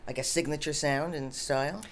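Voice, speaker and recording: Older woman, interview host, deep voice, chatty, encouraging. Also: steady tone, a little fast, slight background noise. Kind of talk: casual interview chat.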